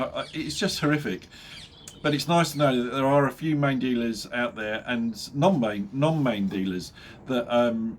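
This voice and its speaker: A middle-aged Englishman talks to his viewers in an easy, rambling way, and he sounds nasal and stuffed up from a cold. His voice sits at an ordinary middle pitch, and he breaks into chuckles at his own jokes. A faint hiss of background noise runs underneath. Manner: chatty, jokey, laughs at his own jokes, many fillers. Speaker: motorbike vlogger. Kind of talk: casual chat to camera.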